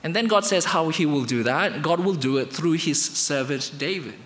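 A man from Indonesia, speaking English as his second language, preaching a sermon with emphatic highs and quiet lows. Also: expressive, normal speed. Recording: reverberant hall.

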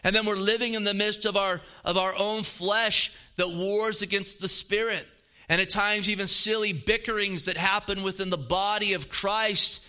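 A man's voice: sits high, fairly even intonation, moderate pace, pushed and bright. A pastor in his fifties preaching a sermon, earnest and emphatic, repeating phrases for weight. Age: 50s.

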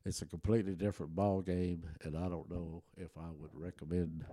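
Older man, german first language, low warm voice, folksy rambling storyteller, dry close sound. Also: flat delivery, a little fast, no echo. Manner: folksy, rambling with many restarts and chuckles. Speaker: interview guest. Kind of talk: relaxed storytelling.

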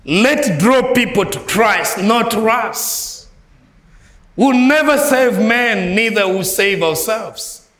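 A man from Malawi with a Malawian accent preaching a sermon as a guest preacher, swinging between soft low phrases and raised ringing lines. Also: expressive, takes it slowly, reverberant. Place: church.

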